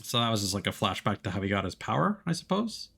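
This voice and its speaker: A man's voice: fairly low pitch, sing-song, fast, vocal fry, fairly bright tone, breathy edge, soft background music. A man streaming a game, switching between reading narration aloud and casual commentary.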